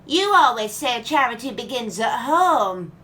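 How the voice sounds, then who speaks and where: A woman's voice: fairly high pitch, big pitch swings, a little slow, bright, forceful tone, heavily acted. A woman playing an exasperated, suspicious grown child challenging a parent in an audio comedy, with a dramatic manner. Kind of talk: family comedy audio play acting.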